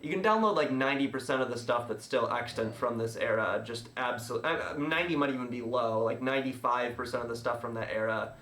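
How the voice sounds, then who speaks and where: A male podcast host chatting casually, explaining things in a rambling, halting way with frequent self-interruptions. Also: mid-range voice, fairly bright tone. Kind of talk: casual chat. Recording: roomy, echoey room.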